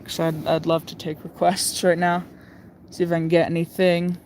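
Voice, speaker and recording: A man's voice: a little high, completely flat delivery, normal speed, a little mellow. Chatty man talking over radio hiss. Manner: chatty, thinks aloud, many fillers. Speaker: livestream host tuning a shortwave radio. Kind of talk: casual live commentary.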